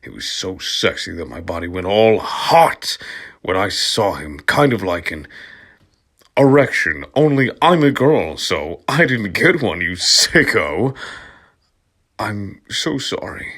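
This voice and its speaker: A man reading fan fiction aloud in a dramatic comic style, sardonic and theatrical, dropping into murmurs and rising into loud outbursts. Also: deep voice, big pitch swings, a little slow, husky.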